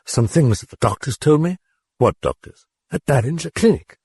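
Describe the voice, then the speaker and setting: A frantic mother, as a man puts her on, her voice raised high and breathy. She sounds desperate and distressed in the husky audiobook voice. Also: animated, moderate pace, warm tone, no echo, heavily acted.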